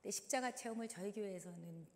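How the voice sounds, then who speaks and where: A hoarse woman guiding a congregation through simple prayer movements in a church, speaking slowly and encouragingly. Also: mid-range voice. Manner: slow, instructive, encouraging. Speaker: dance leader in a church service. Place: large church sanctuary. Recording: long reverb in a large hall.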